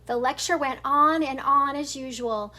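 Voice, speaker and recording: A woman's voice: sits high, a little slow, sharp tone. An older woman, a grandmother, reading a children's storybook aloud in an informal, giggly way with chatty asides.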